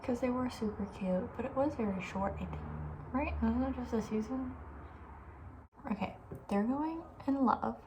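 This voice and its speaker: A woman talking softly and breathily in a casual, opinionated ASMR ramble, often slipping toward a whisper. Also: fairly high pitch, normal speed, pauses often, noisy background. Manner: chatty, informal, opinionated, trailing off. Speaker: ASMR video host. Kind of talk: soft-spoken ASMR, casual rambling chat.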